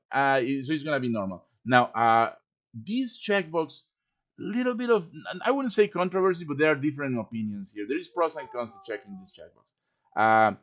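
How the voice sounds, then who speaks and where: A man with a medium-pitched voice leads a live software tutorial. He talks informally, walking through each step in order and adding casual asides as he goes. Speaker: tutorial presenter. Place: live-streamed voice chat with screen share.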